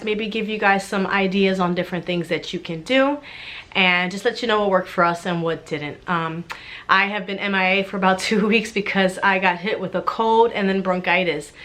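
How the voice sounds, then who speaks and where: A woman's voice: mid-range voice, fairly bright tone. A woman in her thirties, a homeschooling mom vlogger, chatting casually to viewers with a congested, stuffy voice from a cold. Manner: chatty, friendly, talks straight to viewers. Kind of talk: casual chat to camera.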